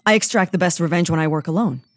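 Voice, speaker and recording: A woman's voice: on the low side, dry recording, natural voice. An actress playing a hot-tempered, sarcastic young woman agent in a comedy audio drama. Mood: angry. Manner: sharp, sarcastic, quick to anger.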